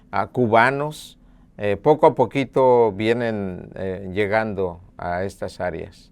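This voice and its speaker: A middle-aged man from Mexico, a local media director, telling his story in an earnest, measured documentary interview. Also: lowish voice, clear, resonant tone. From Mexico (Puebla). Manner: earnest, measured, with hesitation fillers.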